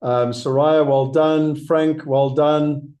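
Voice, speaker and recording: South African male host, mellow slightly higher voice, emphatic live Q&A pitch. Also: fairly even intonation, slow. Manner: emphatic, repeats key points, frequent ums. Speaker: webinar host answering questions. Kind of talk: live webinar Q&A sales pitch.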